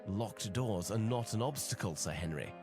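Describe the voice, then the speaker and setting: An actor playing a confident, wry gentleman detective with a formal, assured manner in a video game. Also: on the low side, animated, music in the background, natural voice. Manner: formal, brisk, assured, lightly teasing. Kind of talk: video game dialogue acting.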